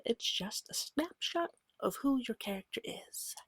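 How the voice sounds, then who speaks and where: Soft breathy woman whispering ASMR guidance. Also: a little high, animated, no room sound, studio quality. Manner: gentle, patient, reassuring, short measured phrases. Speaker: guide teaching a beginner tabletop game. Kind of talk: soft-spoken ASMR, slow guided explanation.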